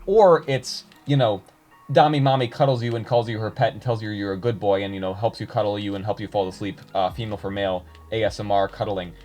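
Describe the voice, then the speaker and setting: A man's voice: fairly low pitch, steady tone, fast, darkish tone, soft background music. A young man chatting casually to his viewers, rambling with many fillers and restarts. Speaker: YouTuber reading comments.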